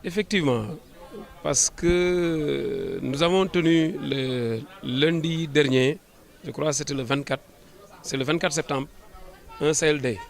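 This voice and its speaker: A man with a Senegalese accent answering an interview as a government official, in formal administrative phrasing, often halting and repeating words. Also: a little high, creaky. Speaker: government official, sub-prefect.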